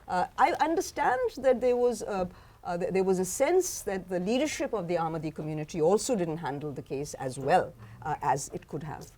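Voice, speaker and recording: Animated, emphatic woman professor. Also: fairly low pitch, fairly quick. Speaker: author and history professor. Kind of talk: answering audience questions at a book talk. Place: university lecture hall.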